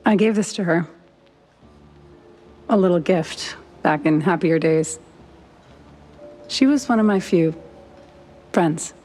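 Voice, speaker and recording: A woman's voice: lowish voice, a little mellow. A woman voicing a cool, guarded mouse lady in a noir video game, restrained and dry in her delivery. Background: music.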